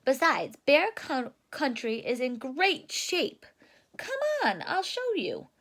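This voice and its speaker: A woman's voice: sits high, wildly swooping pitch, slow pace, fairly bright tone, voice pushed up, exaggerated voice. A woman voicing a folksy, dismissive father bear from a storybook, in a hearty, blustering and emphatic manner. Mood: proud.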